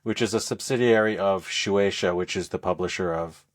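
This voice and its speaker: A man speaking as a podcast co-host, explaining things in a casual, halting, conversational review style. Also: deep voice. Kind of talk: casual game review chat.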